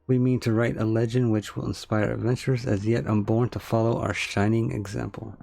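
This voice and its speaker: A man's voice: lowish voice, flat delivery, fairly quick, creaky, mellow. A man voicing an earnest, lofty adventurer from a fantasy game, speaking grandly in formal, archaic phrases. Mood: proud, determined. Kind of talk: fantasy game dialogue read aloud.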